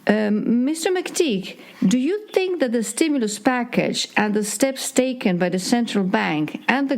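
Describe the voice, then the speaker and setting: A woman co-hosting a public affairs interview, reading a formal briefing and questions in a deliberate way. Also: mid-range voice. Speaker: co-host of a public affairs show.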